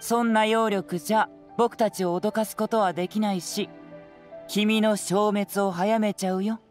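A man voicing a youthful, confident detective in an anime game, speaking in a measured, analytical, explanatory way. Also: high voice, steady tone. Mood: calm, firm. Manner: measured, explanatory, self-assured. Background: faint background music.

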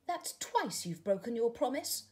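A woman's voice: a little high, big pitch swings, unhurried, a little mellow, pitched above the performer's own voice. A woman voicing a tender, hurt caterpillar girl. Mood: reproachful. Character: tender young female caterpillar, hurt and heartbroken.

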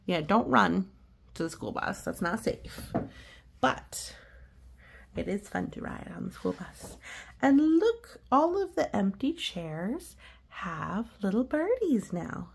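A woman's voice: mid-range voice, sing-song, takes it slowly, vocal fry, slight background noise. A woman reading a storybook to young children, explaining things in a reassuring way. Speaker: storybook reader. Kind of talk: read-aloud commentary for young children.

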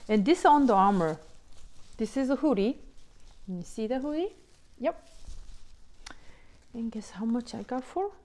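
A woman's voice: fairly high pitch, unhurried, pauses often. Middle-aged woman, english isnt her first language, gushing about deals, says you know a lot. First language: not English. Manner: excited, simple non-native English, many "you know"s. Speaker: vlogger showing a shopping haul. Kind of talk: casual chat to viewers.

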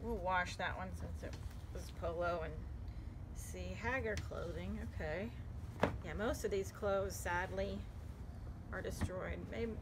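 A woman's voice: on the low side, unhurried. A middle-aged woman, chatting casually and upbeat as a vlog co-host while sorting clothes outdoors. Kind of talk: casual chat. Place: outdoors in a yard. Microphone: handheld phone camera. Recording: noisy outdoor phone recording.

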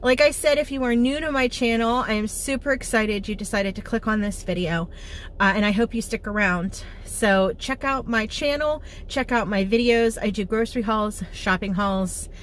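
A woman's voice: a little high, normal speed, a little hiss or hum. A middle-aged American woman talking informally and chattily to viewers as a home cooking and lifestyle vlogger. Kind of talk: casual chatty vlog to viewers.

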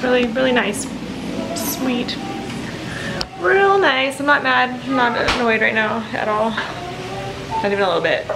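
Young female fitness vlogger, slightly high voice, casual chat, clear household noise behind her. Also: animated, unhurried, pauses often. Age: young adult. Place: home kitchen and room indoors. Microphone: handheld camera at arm's length.

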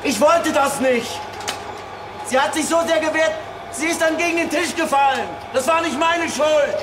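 An actor playing a cornered, desperate killer in a TV crime drama, shouting. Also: male voice, very high voice, moderate pace, noisy background. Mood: panicked. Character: cornered, panicking male killer. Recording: echoey, with loud music behind.

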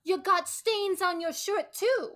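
A woman's voice: very high voice, slow pace, a bit bright, exaggerated voice. A woman playing a sarcastic, accusing mobster's wife in a comic radio play. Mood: mocking. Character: sharp, mocking, nagging mobster's wife.

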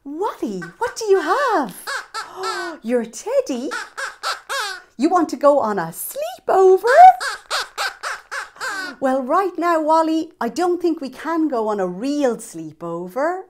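A woman in her thirties presents children's storytime in an animated, exaggerated, sing-song way aimed at young children. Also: high-pitched, takes it slowly, long pauses, background noise. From Ireland. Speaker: children's storytime host and entertainer. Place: small indoor set with fabric backdrop.

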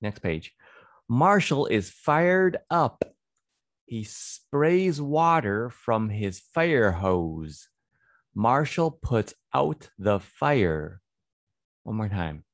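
A man in his thirties giving a read-aloud English phonics lesson for children, deliberate and repetitive, close to a desk microphone in a small room. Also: medium pitch, very animated, no room sound. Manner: deliberate, repeats words and sentences. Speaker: English reading teacher for children. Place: small indoor home studio. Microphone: close desk microphone on boom arm.

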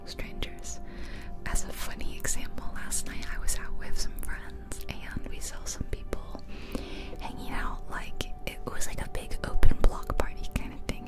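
A woman whispering softly and close to the microphone in casual, chatty ASMR commentary on a video game. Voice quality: soft unvoiced whisper. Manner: casual, rambling, chatty asides. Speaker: ASMR creator. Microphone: close ear-to-ear ASMR microphone.

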